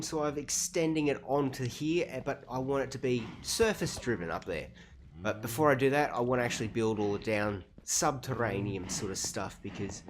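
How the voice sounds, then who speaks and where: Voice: mid-range voice, a little hiss or hum. Chatty Australian game streamer. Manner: chatty, rambling, self-deprecating, laughs easily. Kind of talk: casual livestream commentary while playing.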